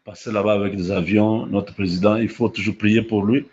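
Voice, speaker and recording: A man, hoarse from a cold, talking in a slow, halting way with many fillers as a livestream host. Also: lowish voice, steady tone, darkish tone, dull audio, slight background noise. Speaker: online channel host. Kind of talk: casual livestream commentary.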